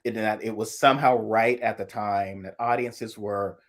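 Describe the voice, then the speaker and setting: A man from the American South co-hosting a casual chat, thoughtful and halting, often restarting his sentences. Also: fairly low pitch, steady tone. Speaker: co-host.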